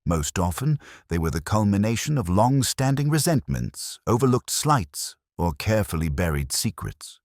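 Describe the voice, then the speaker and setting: A man narrating a scripted mystery story in a measured, literary storytelling manner. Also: on the low side, sing-song, a little mellow, no echo, clean studio sound. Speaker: audiobook narrator.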